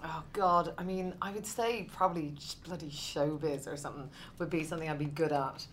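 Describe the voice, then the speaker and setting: A woman's voice: sits low, fairly even intonation, brisk, a little hiss or hum. A woman from Ireland with an Irish accent, an actress chatting in an interview with dry humor. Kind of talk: casual interview chat.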